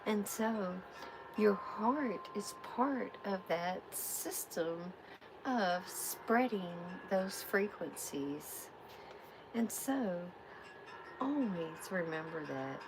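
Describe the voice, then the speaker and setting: A woman hosting a live tarot reading, giving spiritual guidance in a soft, soothing way. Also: medium pitch, animated, noisy background. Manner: soft, unhurried, soothing affirmations.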